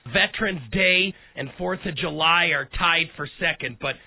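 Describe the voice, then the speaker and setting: Raspy hoarse guy on talk radio, high-ish voice, dry tinny sound like a phone line. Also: moderate pace, dry recording. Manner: folksy, direct address to listeners. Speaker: radio talk show host. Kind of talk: casual one-on-one radio talk.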